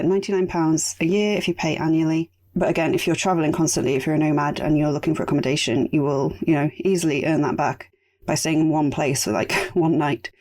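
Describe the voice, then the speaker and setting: Chatty low-voiced woman, a bit raspy. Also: a little mellow. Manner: chatty, rambling asides. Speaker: podcast host. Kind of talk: casual solo podcast talk.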